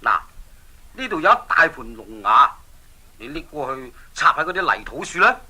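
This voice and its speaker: A man's voice: medium pitch, big pitch swings, bright, forceful tone, slightly rough, full character voice. A man with a Hong Kong accent playing a scheming, menacing king in a children's radio drama, with mocking, commanding delivery. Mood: sly. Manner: mocking, drawn-out threats.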